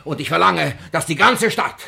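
A miserly old master in a radio play, with a very high, bright, forceful voice. He stammers, wails and rants in frantic despair, as if all the world had robbed him. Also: animated, moderate pace, vocal fry, exaggerated voice. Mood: indignant, demanding. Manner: stammering, wailing, ranting. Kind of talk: classic German radio play acting.

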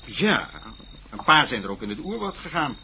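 An actor playing a talkative, slightly haughty local official at a jungle outpost in a radio play. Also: male voice, mid-range voice, sing-song, normal speed, sharp tone, slight background noise. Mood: ominous, informative. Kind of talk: Dutch radio play dialogue.

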